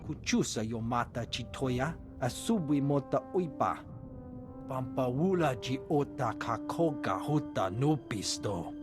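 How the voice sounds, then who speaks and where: An alien officer acted by a man speaks a made-up tongue with formal steadiness. The voice is mid-pitched, video game dialogue set over prominent music. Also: animated, a little slow, natural voice. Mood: serious. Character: male alien officer speaking an invented flowing language.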